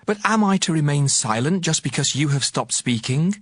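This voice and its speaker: A man's voice: a little high, expressive, normal speed, no echo. An actor playing an earnest young man who admonishes his elders in an emphatic, declamatory way, in a dramatized audio Bible. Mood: indignant, bursting. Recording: music behind the voice.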